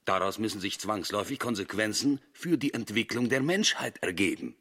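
Thoughtful, husky male sceptic. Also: on the low side, animated, fairly quick, fairly bright tone, heavily acted. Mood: grave. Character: sceptical, thoughtful male astronaut reasoning about alien intelligences. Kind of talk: German radio play acting.

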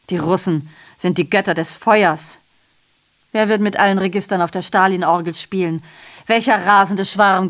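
A woman's voice: on the high side, natural voice. A woman in a radio drama playing a sardonic messenger who reports on war and ruin with rhetorical questions and ironic asides. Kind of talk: literary radio drama monologue.

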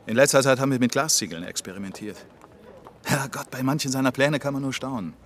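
A man's voice: medium pitch, fast talker, a little hiss or hum, close to an everyday voice. A man playing a chatty, enthusiastic office worker in a naturalistic radio drama, speaking eagerly and colloquially. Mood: admiring.

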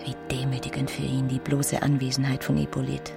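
A discontented young wife in a radio drama, low and gravelly. She broods with quiet contempt, intimate and close, while music plays clearly behind her. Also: monotone, brisk, heavily acted. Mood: weary contempt. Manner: brooding, intimate, sometimes murmured. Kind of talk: German radio drama acting.

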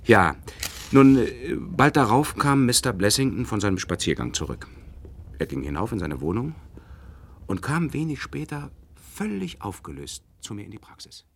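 A man's voice: lowish voice, big pitch swings, normal speed, slight background noise. An actor playing an earnest, courteous doctor in a radio play, telling his story politely and eagerly. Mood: eager, puzzled. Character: earnest, courteous male doctor recounting a mystery. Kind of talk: German radio play acting.

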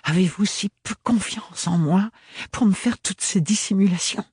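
A man reading aloud and voicing a young, clumsy, defiant woman with a rusty, hoarse voice. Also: sits high, normal speed, darkish tone, dry recording, studio quality, pitched above the performer's own voice, full character voice. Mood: reproachful.

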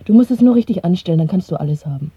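A bold young lover, flippant and teasing, with a low, very breathy voice. It is radio play acting on a tinny recording. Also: female voice, expressive, racing, dry recording. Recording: dry, tinny narrow-band radio recording.

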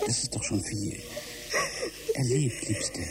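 An actor playing a tender, shaken husband who consoles haltingly and tries to be brave in a German radio drama. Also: male voice, mid-range voice, heavy background noise. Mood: tearfully consoling. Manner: soothing, halting.

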